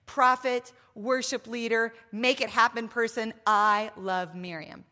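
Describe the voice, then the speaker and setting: A woman's voice: a little high, steady tone, unhurried, sharp tone. A woman in her fifties giving a faith talk to a live audience with a microphone, emphatic and playful, full of asides. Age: 50s. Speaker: speaker at a women's event. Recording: long reverb of a large hall.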